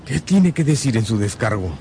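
An actor playing a stern, authoritative count who acts as judge in a radio drama. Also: male voice, mid-range voice, very animated, normal speed, dark tone, a little hiss or hum, natural voice. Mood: stern, questioning.

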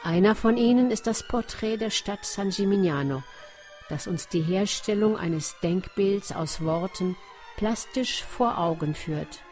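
A woman's voice: medium pitch, unhurried, a little mellow, soft background music. A woman narrating a scripted documentary for radio.

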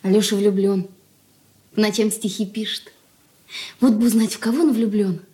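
A woman's voice: mid-range voice, fairly even intonation, normal speed, dark tone, close to an everyday voice. An actress playing a chatty, curious, stubborn schoolgirl in a radio drama, girlish and conspiratorial. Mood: gossipy. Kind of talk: Soviet radio drama stage acting.

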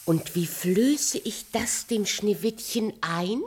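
A woman's voice: mid-range voice, slow, a little mellow, slight background noise, lightly acted. An actress playing a vain, murderous, imperious queen in a radio play, theatrical, commanding and volatile in manner. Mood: scheming, pleased.

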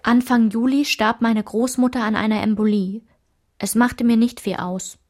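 A woman playing a narrator who recalls her ashamed girlhood, in a measured, matter-of-fact literary radio play style. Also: a little high, fairly even intonation, darkish tone, close to an everyday voice. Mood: sober recollection.

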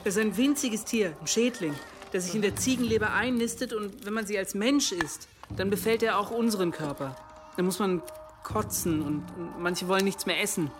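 An actor playing an earnest young monk in a radio drama, explaining things in a hesitant but knowledgeable way. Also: male voice, high-pitched, normal speed, natural voice. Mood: thoughtful, earnest.

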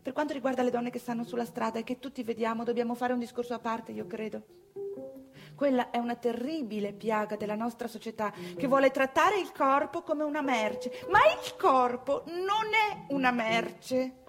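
An actress playing a prim, self-righteous middle-class wife and mother in a satirical stage monologue, with affected, emphatic delivery and drawn-out words. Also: on the high side, music in the background, lightly acted. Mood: pious, grave.

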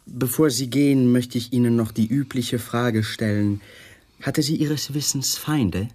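A man's voice: mid-range voice, measured pace, mellow, breathy edge. An actor playing a courteous, probing male homicide lieutenant in a radio crime play, formal and businesslike. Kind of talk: 1980s radio play acting.

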